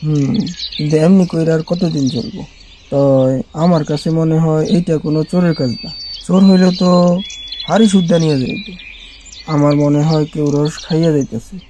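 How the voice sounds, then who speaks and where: A man's voice: fairly high pitch, steady tone, normal speed, warm tone, slight background noise, lightly acted. A man playing a wary, suspicious village sap tapper in a cartoon, with a rural Bangladeshi accent. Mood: wary, puzzled. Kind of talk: cartoon voice acting.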